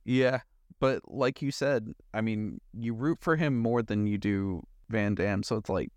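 A man's voice: on the low side, a little slow, a little mellow, no echo. Easygoing man in casual chat, dry sound. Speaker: podcast co-host. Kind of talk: casual opinionated movie chat.

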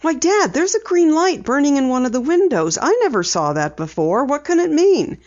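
A woman voicing an eager, earnest teenage girl sleuth in a storybook reading, high and insistent. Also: big pitch swings, a little slow, muffled recording. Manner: bright, earnest, high-pitched pleading.

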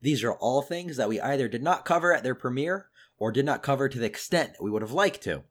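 A young man co-hosting a pop culture podcast while sick, his voice deeper than usual, talking quickly and eagerly. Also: animated. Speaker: podcast co-host. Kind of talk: casual chat.

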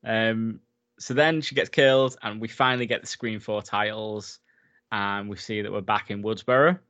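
A man's voice: fairly low pitch, steady tone, normal speed, sharp tone. A man in a casual film recap chat, enthusiastic and talkative, laughing often as he goes. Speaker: podcast co-host.

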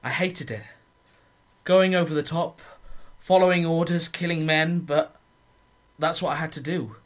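A man's voice: a little high, fairly even intonation, measured pace, close to an everyday voice. A man playing a haunted young soldier narrating his war in a radio play monologue. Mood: anguished. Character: haunted young soldier recalling trench warfare. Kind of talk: dramatic first-person radio monologue.

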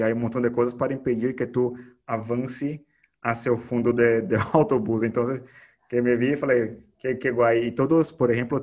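A man's voice: mid-range voice, a little mellow. A man hosting a podcast interview in casual chat, slipping words into his Spanish with many restarts. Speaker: podcast host.

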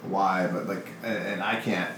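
A man's voice: deep voice, steady tone, fairly bright tone, slight background noise. Open-hearted man in an echoey room. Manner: open, emotional, halting with restarts. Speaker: podcast host. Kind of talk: casual podcast conversation.

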